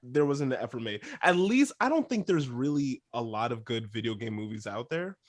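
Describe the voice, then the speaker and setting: A man's voice: mid-range voice, sing-song, moderate pace, no echo. A man on a podcast panel, giving emphatic opinions in a casual, chatty way.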